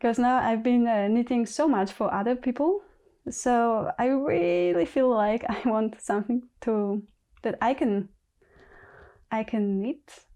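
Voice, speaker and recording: A woman with a Polish accent, a native Polish speaker, hosting a knitting podcast in casual chat, thinking aloud and halting with many pauses. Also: on the high side, warm tone. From Poland.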